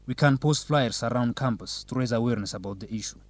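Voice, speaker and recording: An actor playing a dignified young student council president, answering formally in an educational radio drama. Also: male voice, mid-range voice, dry recording, lightly acted. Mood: formal, assured. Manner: formal, measured, politician-like.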